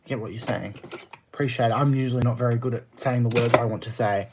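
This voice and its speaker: A man's voice: mid-range voice, fairly even intonation, fast talker, very noisy. A man with an Australian accent chatting casually on a livestream, answering viewer comments in a blunt, exasperated, emphatic way. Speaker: live streamer.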